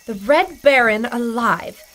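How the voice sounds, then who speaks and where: An intrepid reporter. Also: female voice, on the high side, expressive, slow. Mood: determined. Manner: sharp, decisive. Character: intrepid young woman reporter. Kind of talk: audio drama acting.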